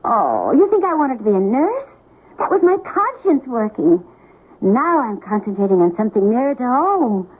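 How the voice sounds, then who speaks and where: An actress playing an eager, devoted young wife with an effusive, melodramatic manner in an old-time radio drama. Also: female voice, fairly high pitch, sing-song, normal speed, husky. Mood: eager, loving. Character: gushing, eager, devoted young wife, former military nurse. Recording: thin, telephone-like old radio recording.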